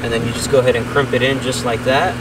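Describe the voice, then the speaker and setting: A man's voice: mid-range voice, little pitch movement, quick pace. A man hosting a how-to video, narrating each step in a casual, informal way. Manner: informal, plain step-by-step explaining. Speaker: host of car how-to videos. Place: in and around a parked pickup truck. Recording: distant mic, clear noisy vehicle background.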